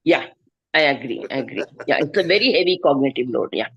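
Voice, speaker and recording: A woman's voice: deep voice, lively intonation, fast talker, a bit bright, breathy edge, dull audio. A woman taking part in a discussion with a lecturer, thoughtful and affirming.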